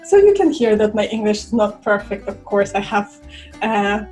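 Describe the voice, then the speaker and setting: A young woman from Russia with a Russian accent, chatting casually to camera and pausing for words. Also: medium pitch, big pitch swings, darkish tone, soft background music. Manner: chatty, searching for words with fillers. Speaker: vlogger.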